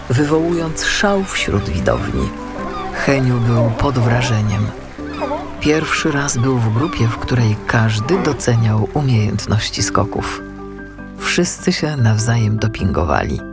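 A man narrating a children's story as an audiobook, with expressive scripted delivery. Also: medium pitch.

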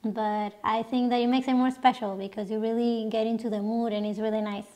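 A woman's voice: on the high side, level tone, brisk, dark tone. A young woman from Mexico, hosting a casual Q&A on her sofa and laughing often. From Mexico, raised partly in Canada. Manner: chatty, laughs often, many restarts. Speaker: channel host. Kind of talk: casual Q&A chat. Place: living room sofa, indoors. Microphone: camera a few feet away.

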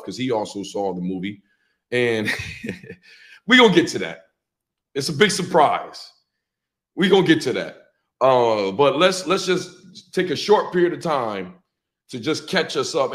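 A hoarse man in his thirties, losing his voice, hosting a casual livestream from a small room and greeting viewers by name. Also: medium pitch. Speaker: livestream host.

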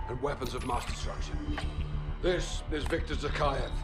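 An actor as a grim British special forces captain. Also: male voice, medium pitch, close to an everyday voice. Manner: measured, grim. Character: grave male British special forces captain giving briefing. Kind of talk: cinematic scripted narration. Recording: loud music under the voice.

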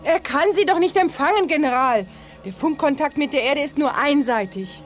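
A woman's voice: sits high, expressive, moderate pace, faint music behind. An actress playing an alert, matter-of-fact young space crew woman in an audio drama, assertive and explanatory. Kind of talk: 1980s sci-fi audio drama acting.

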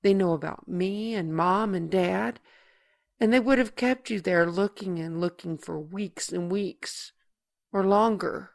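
An actress playing a caring, grave and patient older sister in an audio drama. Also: female voice, medium pitch, measured pace, creaky. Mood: gentle, serious.

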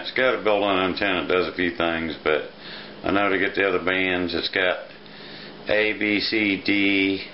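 A middle-aged man talking casually and haltingly in a plainspoken way, as if showing a gadget on a home video. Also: sits low. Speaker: amateur vlogger, radio hobbyist. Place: small indoor room, kitchen-like. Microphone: handheld camera at arm's length. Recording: dull lo-fi camera audio, clear noise.

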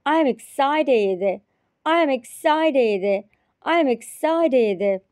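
A woman teacher repeating short phrases slowly and carefully in a repetition drill. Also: sits high, expressive, darkish tone, no room sound, studio quality. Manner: repeats each phrase three times, carefully.

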